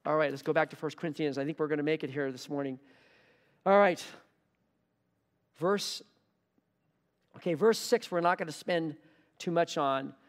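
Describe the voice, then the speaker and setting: A man's voice: a little high, steady tone, normal speed, long pauses, long reverb tail. A man preaching a sermon as pastor, earnest, repeating key words for emphasis. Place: church hall.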